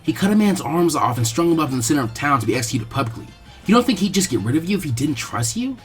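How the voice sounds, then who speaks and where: A man's voice: fairly high pitch, sing-song, fast, faint music behind. A man voicing a brash, cocky ninja boy with a taunting, tough manner in a story reading. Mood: threatening.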